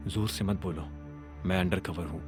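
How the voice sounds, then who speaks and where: Man playing a hushed, cocky undercover boss. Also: fairly even intonation, moderate pace, soft background music, natural voice. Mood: hushed, commanding. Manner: low, hushed, self-assured. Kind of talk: audio drama acting.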